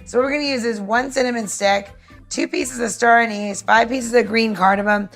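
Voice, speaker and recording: A woman's voice: a little high, fairly even intonation, brisk, a bit bright, soft background music. A woman co-hosting a podcast, explaining a recipe step by step in an informal, instructive way. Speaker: podcast co-host and cocktail maker.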